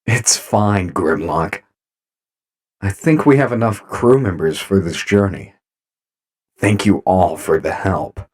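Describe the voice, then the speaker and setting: A man playing a calm, authoritative robot commander in a sci-fi audio drama, speaking in a measured, commanding way. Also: sits low. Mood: understanding.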